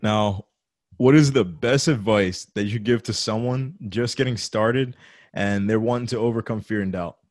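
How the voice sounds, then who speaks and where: A man's voice: fairly low pitch, moderate pace, no room sound, clean studio sound. A man hosting a casual interview, encouraging his guest and affirming him, with plenty of "uh" and "you know". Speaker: interviewer host.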